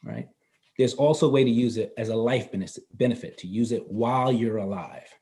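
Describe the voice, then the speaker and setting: A man in his fifties, a guest in a casual interview, explaining finance in a relaxed way and laughing easily. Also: mid-range voice, a little fast. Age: 50s. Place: North Carolina.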